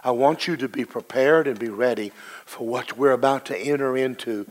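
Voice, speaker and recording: A middle-aged man with a low, hoarse voice, preaching a sermon to his congregation with emphatic, slow, drawn-out stresses. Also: rough recording. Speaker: pastor.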